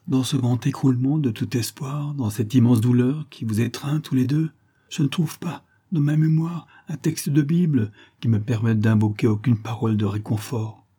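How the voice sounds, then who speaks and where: Man as a husky, grieving motherly old nursemaid. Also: medium pitch, pitched above the performer's own voice, lightly acted. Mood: tender grief. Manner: slow, calm, pained, solemn. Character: kindly sorrowful old nursemaid woman, motherly and grave.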